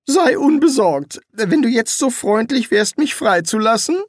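A narrator voicing a nervous male wizard who bluffs as a demon, sarcastic and hesitant, with a strained high voice full of ums. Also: expressive, measured pace, dry recording, clean studio sound. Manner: sarcastic, evasive, pleading. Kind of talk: audiobook reading.

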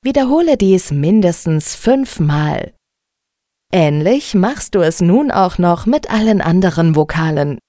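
A woman giving a speech training lesson, talking in a clear, deliberate, carefully articulated way. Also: lowish voice, expressive, dark tone, no echo, clean studio sound. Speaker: speech coach. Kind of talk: instructional speech exercise tutorial.

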